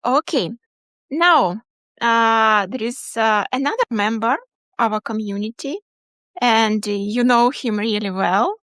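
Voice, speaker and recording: A woman's voice: on the high side, takes it slowly, fairly bright tone, no echo, clean studio sound. A woman hosting a podcast interview, asking questions in a friendly, encouraging way and often repeating her words. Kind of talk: casual interview.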